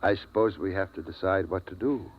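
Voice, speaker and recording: An actor voicing a quiet, thoughtful father in a 1950s radio drama, subdued and measured. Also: sits low, slight background noise, close to an everyday voice. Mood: reflective. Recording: tinny old radio transcription.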